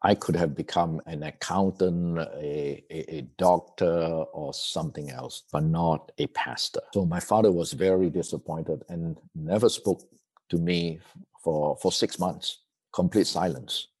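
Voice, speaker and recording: A middle-aged man from Malaysia tells his story as an interview guest. His voice sits very low. He speaks in a reflective way, often repeating words. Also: fairly even intonation, unhurried, darkish tone, breathy edge. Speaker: seminary president, guest.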